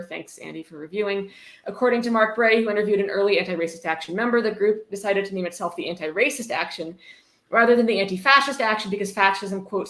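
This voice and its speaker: Woman reading stuff out loud with snarky asides, casual, roomy echoey sound. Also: medium pitch. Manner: sarcastic, mock-approving asides. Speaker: host. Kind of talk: casual live commentary with a read-aloud book. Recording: echoey room.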